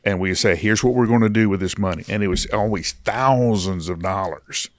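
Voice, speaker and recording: A man's voice: fairly low pitch, a little fast, no room sound. A middle-aged man hosting a casual interview, asking questions and affirming his guest. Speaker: interview host, pastor.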